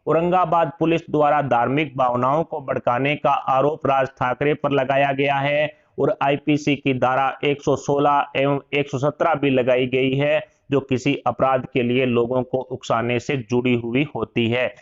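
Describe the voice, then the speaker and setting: A man delivers scripted news narration in a mid-pitched voice. The recording is dull and lo-fi, with little top end to the sound. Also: level tone, measured pace, hardly any pauses.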